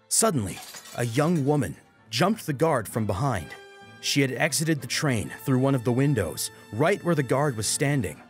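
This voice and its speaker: A man narrating a scripted story as a dramatic audiobook reader. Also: medium pitch. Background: music.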